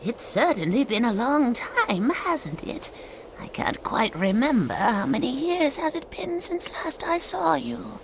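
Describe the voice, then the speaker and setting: A woman voicing a mysterious, knowing fortune teller in a game cutscene, speaking in a slow, cryptic, whispery way. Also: on the high side, big pitch swings, vocal fry, exaggerated voice. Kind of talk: video game cutscene acting. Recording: game audio with ambient noise.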